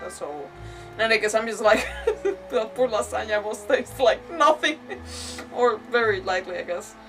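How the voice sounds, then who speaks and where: A woman's voice: on the high side, animated, a little slow, a bit bright, distant mic, soft background music. A woman live-streaming a game, commenting in an informal, reactive way and rambling through her explanations. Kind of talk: casual live let's-play commentary.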